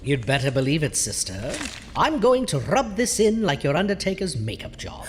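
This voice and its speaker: Doctor Necropolis, low, swooping ghoulish host. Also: female voice, very animated, moderate pace, big-room sound, slight background noise. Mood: gloating. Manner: pompous, florid, alliterative delivery. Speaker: host of horror anthology show. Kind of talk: campy horror-host radio comedy.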